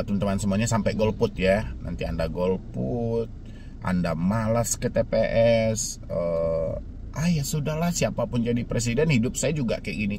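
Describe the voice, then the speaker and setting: A man with an Indonesian accent and a congested, slightly hoarse voice from a cold, hosting a casual vlog while walking slowly outdoors and urging his viewers. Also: mid-range voice, a little hiss or hum. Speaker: channel host giving debt advice.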